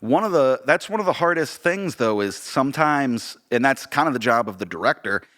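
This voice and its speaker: A man with a bright voice and animated, widely moving pitch, chatting casually as a podcast co-host. He comes across as agreeable, readily affirming the other speaker while making his points. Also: moderate pace. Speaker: podcast co-host, theater and audio director.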